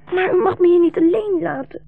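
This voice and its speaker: An actress as a small, anxious boy in a 1960s radio play. The voice is very high and childlike, pleading and full of trusting devotion. It comes through a narrow, muffled old broadcast recording with little room sound. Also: brisk, gravelly, no room sound. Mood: alarmed, caring. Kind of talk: 1960s radio drama acting.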